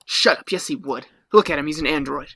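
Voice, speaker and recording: A woman playing a young lone detective bent on revenge, terse and deadpan, in an improvised sci-fi comedy. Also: low voice, brisk, vocal fry, slight background noise, voice pushed down. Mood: accusing, vengeful.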